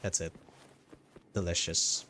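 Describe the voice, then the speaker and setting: A man with a Filipino accent chatting casually as a game streamer, haltingly and often repeating his phrases, over game music. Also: sharp tone, a little hiss or hum. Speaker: game livestreamer. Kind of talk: casual livestream chat. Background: video game music.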